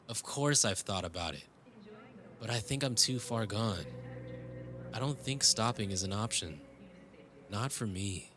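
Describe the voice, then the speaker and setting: A man's voice: on the low side, background noise. A man playing a world-weary, tender cyberpunk dreamer in love, speaking intimately and reflectively in a romantic audio roleplay. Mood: resigned, bitter. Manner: intimate, reflective, switching into Tagalog phrases.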